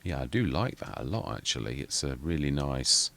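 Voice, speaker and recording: Brief: man, very deep and quiet, rambling gameplay commentary. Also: very animated, normal speed, vocal fry. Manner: rambling, self-correcting, muttering asides. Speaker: gaming channel host.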